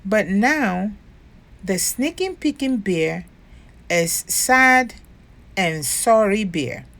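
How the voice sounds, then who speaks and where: A woman reader playing a caring storybook woman who gently coaxes and comforts her little one. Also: mid-range voice, lively intonation, very slow, exaggerated voice. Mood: comforting.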